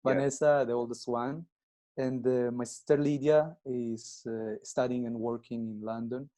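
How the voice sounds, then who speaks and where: A man in his twenties who grew up in Italy, speaking slow, halting non-native English as a guest with many pauses and restarts. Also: mid-range voice, fairly even intonation, warm tone. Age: 20s. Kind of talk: casual interview chat.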